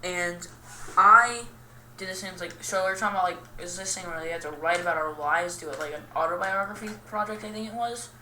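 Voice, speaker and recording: A young woman giving casual, rambling game commentary in a self-deprecating, goofy and halting way. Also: on the low side, sharp tone. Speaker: gaming video host. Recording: roomy, distant mic with clear noise.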